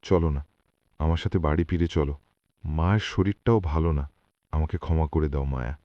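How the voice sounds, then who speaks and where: A man's voice: extremely low pitch, fairly quick, mellow, dry recording, highs cut off. A man voicing a lazy, irritable village shopkeeper husband in a cartoon, with a whining, grumbling delivery. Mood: pleading. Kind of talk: cartoon voice acting.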